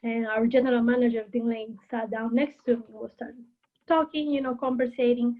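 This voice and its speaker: Woman on a tinny line. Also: fairly high pitch. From Peru. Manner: earnest and steady, with frequent "uh" pauses. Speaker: guest, hotel housekeeping manager. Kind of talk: interview, telling her career story.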